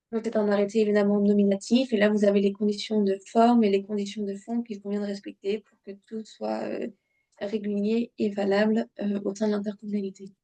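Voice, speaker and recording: A woman presenting an explanatory webinar in a didactic way, addressing listeners directly, with a halting delivery full of filled pauses. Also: medium pitch, dark tone. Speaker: webinar presenter on local government law.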